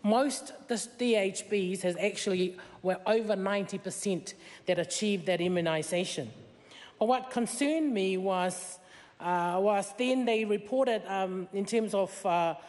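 A woman's voice: mid-range voice, a little slow, a little mellow. Tongan-native woman speaking in a debating chamber. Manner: earnest, many fillers, rising emphasis on key points. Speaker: member of parliament. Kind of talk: parliamentary debate speech. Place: large wood-panelled debating chamber. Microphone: desk microphone. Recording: long chamber reverb.

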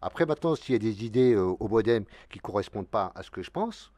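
A man's voice: lowish voice, animated, fast, warm tone. A man in his fifties, a local election candidate, talking through a televised studio debate in a rambling way with many restarts. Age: 50s. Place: dark TV studio, seated at a desk. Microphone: desk mic.

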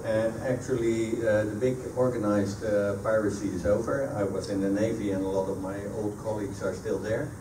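A middle-aged man from the Netherlands with a Dutch accent and native Dutch, answering audience questions at a talk, often pausing mid-sentence. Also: fairly low pitch, fairly even intonation. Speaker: guest speaker, sailor. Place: meeting room at a sailing club. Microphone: handheld PA microphone, recorded by a camera across the room. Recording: echoey room, distant camera microphone, noisy.

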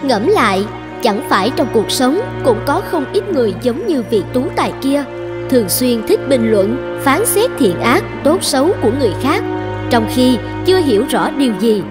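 An elderly villager in a cartoon fable, high in pitch. She muses humbly and thoughtfully, with music clearly behind her. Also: big pitch swings, normal speed. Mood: reflective. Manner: musing, reflective. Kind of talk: dubbed cartoon moral tale.

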